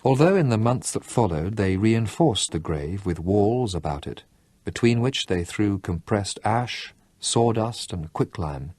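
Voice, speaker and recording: A man reading a novel aloud in an expressive audiobook style, with lively, dramatic delivery and slowed emphasis. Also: lowish voice, husky, no echo.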